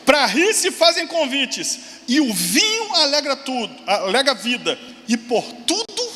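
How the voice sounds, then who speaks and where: A pastor in his forties preaches with a very high, lively voice that leaps up in excited exclamations and drops into quick asides. His voice rings with a long reverb through a large church hall, over a faint hum of room noise. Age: 40s. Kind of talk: sermon.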